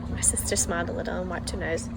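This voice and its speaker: A woman's voice: lowish voice, level tone, fast talker, creaky, noisy background. A woman reading a children's novel aloud as the first-person narrator, telling the story expressively. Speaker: audiobook reader.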